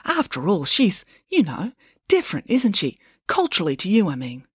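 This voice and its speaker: Woman voicing an earnest, gossipy schoolgirl, high and emphatic. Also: wildly swooping pitch, moderate pace, breathy edge, no room sound, studio quality, full character voice. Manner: insistent, emphatic. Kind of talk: children's storybook character voice.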